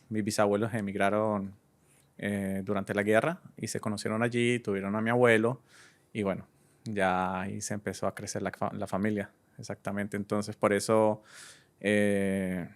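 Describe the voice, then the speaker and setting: A Colombian man from Cúcuta in his thirties, answering interview questions in an easygoing, hesitant way with many pauses. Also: lowish voice, clear, resonant tone. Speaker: guest DJ and entrepreneur.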